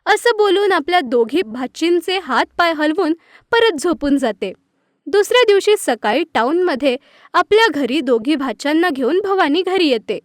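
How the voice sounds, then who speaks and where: A woman's voice: very high-pitched, no echo, clean studio sound. A woman narrating a scripted children's story.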